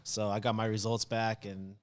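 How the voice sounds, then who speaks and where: A man's voice: lowish voice, flat delivery, moderate pace. A man in his thirties chatting casually as a podcast guest, often repeating short affirmations. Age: 30s. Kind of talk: casual chat.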